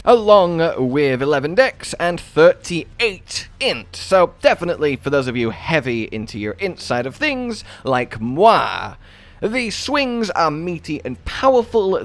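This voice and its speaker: A man's voice: fairly high pitch, fairly bright tone, dry recording. A man giving an enthusiastic video game guide voice-over in a chatty, wordy, playfully dramatic way.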